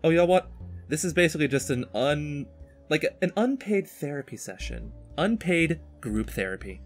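A young man hosting a casual podcast in an animated, teasing way, bantering while drawing. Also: fairly high pitch, normal speed, faint music behind. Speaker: podcast host.

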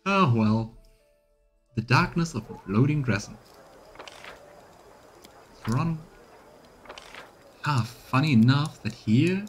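A man's voice: mid-range voice, animated, slow pace, pauses often. A man in his thirties streaming a game, commenting casually and reading dialogue aloud. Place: small room indoors, on webcam. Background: game music.

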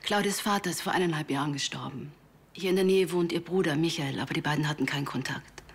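A woman's voice: deep voice, fast, slightly rough. An actress playing a grieving, bookish woman in her fifties in a TV crime drama, curt and irritated. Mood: subdued. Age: 50s. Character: prickly, grieving bookish woman of about fifty.

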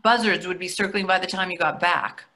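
A woman in her sixties acting in a comic readers theatre play as an expressive, petulant but admiring wife, over a video call. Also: on the low side, fairly quick. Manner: expressive, emphatic. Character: hungry, petulant yet admiring middle-aged wife. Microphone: computer microphone on video call.

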